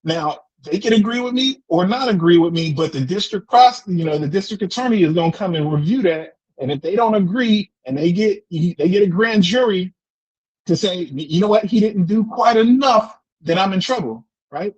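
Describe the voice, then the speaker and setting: An American man in a casual debate, explaining in an animated way, repeating words and often checking with "right?". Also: high-pitched, normal speed, breathy edge, dry recording, dull audio. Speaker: discussion participant answering questions.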